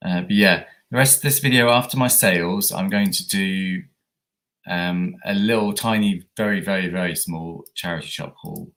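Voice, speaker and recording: A man hosts a casual livestream in a very low, croaky voice, as he is coming down with a cold. He rambles in a chatty way, full of ums and little restarts. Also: normal speed, fairly bright tone. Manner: chatty, rambling with many fillers. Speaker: eBay reseller livestream host.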